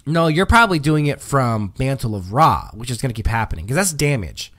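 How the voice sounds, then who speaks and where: A man's voice: mid-range voice, big pitch swings, a little fast, a bit bright. Chatty man rambling through patch notes. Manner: chatty, rambling, many um fillers and restarts. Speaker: livestream host. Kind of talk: casual livestream chat, reading patch notes.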